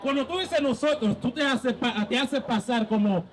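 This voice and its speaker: A man's voice: very high voice, normal speed. A man leading a street Bible debate as a preacher, insistently quizzing and cutting in with questions.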